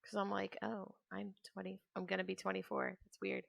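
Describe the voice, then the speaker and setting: A woman's voice: medium pitch, completely flat delivery, brisk, creaky, no echo, clean studio sound. A woman in her twenties chatting casually and ramblingly as she streams a video game, sometimes trailing off into mutters to herself. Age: 20s. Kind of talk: casual chat while playing a video game.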